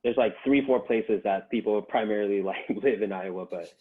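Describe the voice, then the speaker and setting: A man's voice: lowish voice, normal speed. A young man born in Japan, a podcast guest, chatting in a laid-back way with many fillers and laughs. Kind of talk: casual podcast conversation. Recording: tinny phone-like line.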